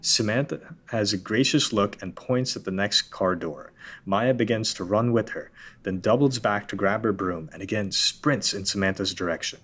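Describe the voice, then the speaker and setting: A man reading the stage directions and narration of a script at a table read in a steady, matter-of-fact way. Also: lowish voice, normal speed.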